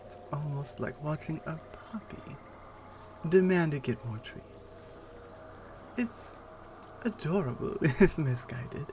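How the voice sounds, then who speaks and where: Woman acting a slow-speaking, commanding Amazonian warrior captor. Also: low voice, lively intonation, mellow, a little hiss or hum. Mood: amused, condescending. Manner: slow, teasing, condescending, self-assured. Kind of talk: ASMR roleplay acting.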